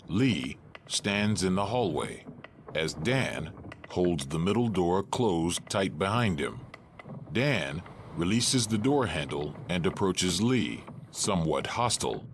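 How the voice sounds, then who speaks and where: Deep, slow-reading male narrator. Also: a little hiss or hum. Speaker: narrator reading stage directions. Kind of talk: scripted narration.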